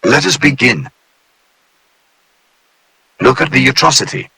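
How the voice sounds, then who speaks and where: A man voicing a polite, formal male AI supercomputer that guides trainees in a calm, courteous, clipped manner. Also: medium pitch, unhurried, gravelly, slight background noise, full character voice, poor audio. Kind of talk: scripted narration for an analog horror tape.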